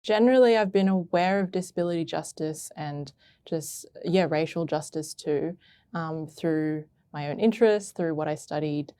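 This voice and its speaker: A woman intern answering interview questions in a casual, hesitant and thoughtful way, with many pauses and fillers. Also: deep voice, warm tone, clear, resonant tone. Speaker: arts intern.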